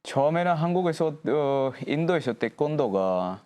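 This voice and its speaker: A young man from India, a guest on a TV talk show, speaking slow, searching Korean with restarts. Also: a little high, big pitch swings, a little mellow, smooth. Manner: slow, searching non-native speech with restarts. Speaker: guest, taekwondo athlete. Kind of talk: casual chat on a TV talk show.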